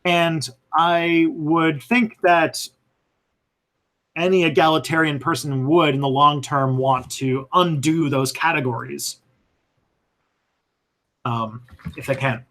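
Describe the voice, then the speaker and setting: A man's voice: fairly high pitch, steady tone, slow pace, pauses often, a bit bright. A man hosting a live stream and answering viewer questions in a thoughtful, hesitant way, with many fillers and restarts. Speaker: history channel host answering viewer questions.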